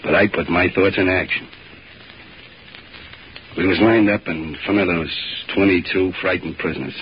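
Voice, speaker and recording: Earnest soldier, mid-pitched, male actor, dull lo-fi radio, faint noise. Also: steady tone, normal speed, natural voice. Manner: earnest, quietly pleading. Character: troubled soldier narrator, conscience-stricken. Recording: dull lo-fi old radio recording.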